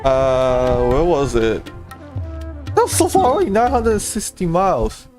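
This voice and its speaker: A man giving casual, off-the-cuff live commentary as a video game streamer, relaxed and prone to chuckling. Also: a little high, slow. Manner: laid-back, chuckling, off-the-cuff. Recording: game music clearly behind the voice. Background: video game music and dialogue.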